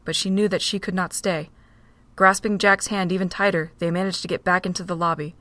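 Steady woman narrating a fan drama. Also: lowish voice, no room sound. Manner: steady reading. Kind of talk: storybook narration.

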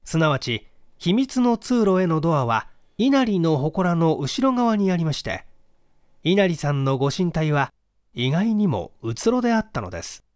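A man narrates a detective story with expressive, measured phrasing. His voice sits a little high and swings widely in pitch. The sound is close and dry, like an audiobook. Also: dry recording. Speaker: audiobook reader, stage actor. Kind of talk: dramatic scripted narration of a classic detective story.

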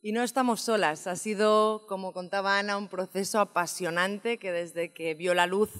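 A woman's voice: mid-range voice, steady tone, moderate pace, fairly bright tone. Spanish woman introducing a panel. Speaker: panel moderator. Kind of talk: conference introduction. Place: conference hall stage. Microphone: table microphone. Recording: echoey conference hall.

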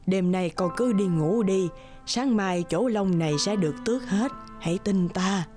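Woman voicing a slow, kindly old fairy woman. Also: mid-range voice, darkish tone, soft background music, lightly acted. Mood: gentle, reassuring. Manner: comforting, measured.

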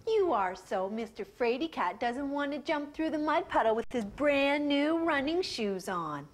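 Taunting boy bully, singsong and high, put on by a woman storyteller. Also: animated, a little slow. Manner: singsong teasing. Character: taunting schoolboy bully, cocky.

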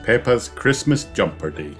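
Children's book narrator, male, low register, unhurried and animated read-aloud. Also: soft background music. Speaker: storybook narrator. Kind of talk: scripted children's story narration.

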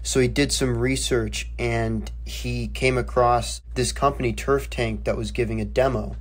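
Young man, flat conversational delivery. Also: medium pitch, a little slow. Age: young adult. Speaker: student reporter interviewed on a podcast. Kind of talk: conversational explanatory report.

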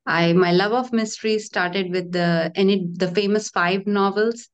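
A woman's voice: mid-range voice, fairly even intonation, measured pace, slight background noise. A woman from Mumbai talking easily about her path to writing on a video-call author panel. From Mumbai, India. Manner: easy, storytelling, light laughs. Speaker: debut mystery novelist on a panel. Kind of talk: casual panel conversation. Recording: dull, muffled video-call audio.

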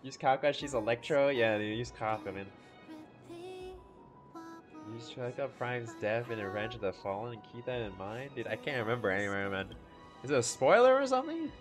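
A man's voice: mid-range voice, animated, fast talker. A man gushing in slangy, casual livestream commentary, full of bro and dude, as he reacts to a game. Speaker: live streamer reacting to game cutscenes. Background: game music behind the voice.

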